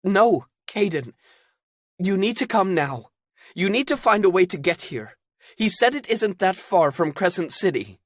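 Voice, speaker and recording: A woman's voice: medium pitch, very animated, measured pace, dry recording, studio quality, voice pushed up. A man doing a scratchy, breathless, insistent woman. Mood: urgent. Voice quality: scratchy from crying. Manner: gently insistent. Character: breathless woman bearing grave news.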